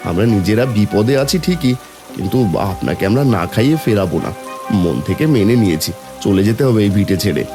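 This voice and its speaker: A man playing a humble, kind-hearted village father in an animated fable, respectful and resigned. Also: lowish voice, a little fast, music in the background, lightly acted. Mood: resigned kindness. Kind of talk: Bengali animated moral story voice acting.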